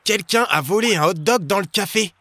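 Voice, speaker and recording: A man's voice: high-pitched, moderate pace, background noise, lightly acted. An actor voicing an eager, friendly young boy in a French children's cartoon dub, speaking in an earnest, explanatory way. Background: music.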